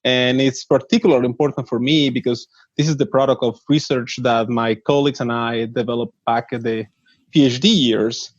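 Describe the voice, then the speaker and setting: Earnest CEO from northern Mexico. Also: male voice, mid-range voice, fairly even intonation, normal speed, no room sound. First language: Spanish. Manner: earnest, many restarts. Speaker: startup CEO, guest. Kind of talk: interview answers. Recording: dull dry lo-fi audio.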